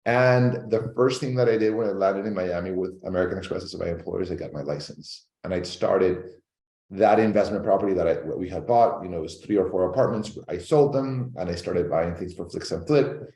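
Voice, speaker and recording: A man from Venezuela, a real estate agent telling his story in an interview. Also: sits low. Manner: fast, animated storytelling. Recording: echoey room.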